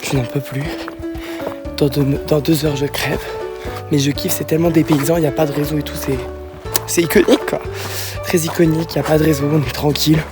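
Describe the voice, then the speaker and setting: A woman's voice: low-pitched, fairly even intonation, fairly quick, music in the background. Jokey young woman vlogging on a hike. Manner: breathless, jokey, self-mocking. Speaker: vlogger filming herself. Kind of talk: casual handheld vlog talk. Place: outdoors on a hike.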